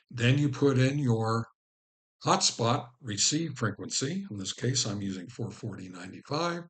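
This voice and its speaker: A man presenting an informal step-by-step tutorial as host, instructing the viewer directly. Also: medium pitch. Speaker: host of a ham radio how-to channel.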